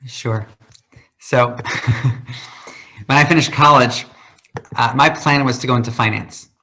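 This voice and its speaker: A man's voice: medium pitch, steady tone, slow, bright, forceful tone. A man in his thirties who stutters, speaking passionately and dramatically about his life in a question-and-answer talk. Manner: passionate, with a stutter and repeated words. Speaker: guest speaker. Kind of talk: personal talk in an online Q&A.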